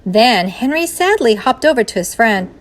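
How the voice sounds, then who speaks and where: A woman's voice: a little high, very animated, moderate pace. A woman reading a children's storybook aloud in the style of a teacher.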